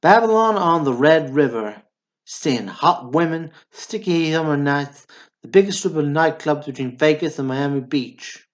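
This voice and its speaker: A man's voice: fairly high pitch, unhurried, lightly acted. A man acting a brash, boastful small-town Texan in a radio drama, with a folksy, profane storytelling manner. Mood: wistful, relishing.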